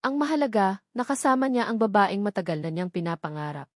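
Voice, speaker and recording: Filipina story narrator, very dry close sound. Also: female voice, mid-range voice, sing-song, brisk, no room sound. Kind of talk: dramatic scripted romance story narration.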